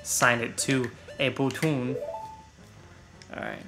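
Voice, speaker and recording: A man's voice: medium pitch, huge pitch swings, slow pace, gravelly. A man playing a video game as co-host, chatting in a casual, offhand, sweary way. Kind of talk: casual let's play banter. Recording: game music behind the voice. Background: video game music.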